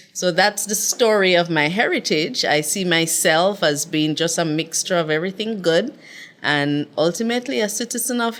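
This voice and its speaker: A middle-aged woman from Jamaica with a notably low voice. She speaks as a podcast guest, earnest and reflective as she recounts her story. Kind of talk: casual interview storytelling.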